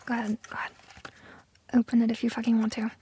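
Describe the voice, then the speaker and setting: A woman acting a flustered, awkward young lover in an intimate audio roleplay, with a breathy, hushed voice and halting, stammering delivery. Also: fairly high pitch, completely flat delivery, slight background noise, lightly acted. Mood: exasperated, shy. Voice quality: breathy, whispery.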